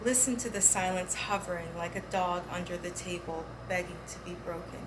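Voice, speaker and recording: A woman's voice: fairly low pitch, fairly even intonation, moderate pace. Woman reciting poetry over noticeable noise. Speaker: poetry reader. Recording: clearly audible background noise.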